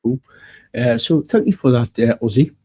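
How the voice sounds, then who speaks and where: Casting: male interviewer, mellow dark tone, hesitant, reads viewer questions. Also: medium pitch, big pitch swings, normal speed, creaky, breathy edge. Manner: hesitant, many uhs. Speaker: interviewer host.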